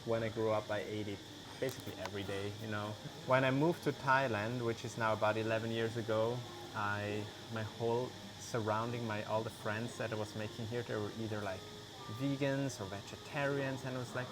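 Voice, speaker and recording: A man speaks English as an in a loose, conversational way, leaning on "like" and "you know". His voice sits at a middling pitch for a man. The recording is outdoors, with crickets and insect noise plainly heard behind him. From Switzerland. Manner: relaxed, many "like" and "you know" fillers. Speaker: guest, vegan cheese maker. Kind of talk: casual interview.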